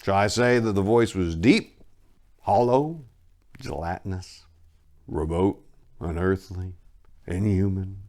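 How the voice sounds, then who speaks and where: A man playing a haunted survivor recounting a horror, theatrical and halting, swelling from hushed to anguished. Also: sits low. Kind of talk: dramatic horror story reading.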